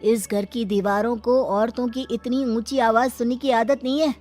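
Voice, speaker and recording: A woman's voice: on the high side, steady tone, normal speed, a little mellow, faint music behind, close to an everyday voice. A woman voicing a stern, domineering patriarchal uncle who scolds and commands in a moral story. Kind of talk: Hindi moral story character voice.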